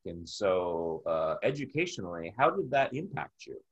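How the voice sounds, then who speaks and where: Deep, husky-voiced man interviewing. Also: very animated, moderate pace, dull audio. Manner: rambling, thinks aloud. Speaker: interviewer and host. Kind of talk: casual conversational interview.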